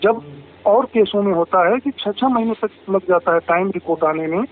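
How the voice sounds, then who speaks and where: A man's voice: high-pitched, moderate pace. A man, a police officer explaining a case insistently on a phone call and often cutting in. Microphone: telephone line. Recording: tinny noisy phone call recording.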